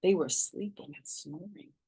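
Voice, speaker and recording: A woman reading a children's chapter book aloud as a storytime narrator, animated and dramatic in her telling. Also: lowish voice, unhurried, breathy edge.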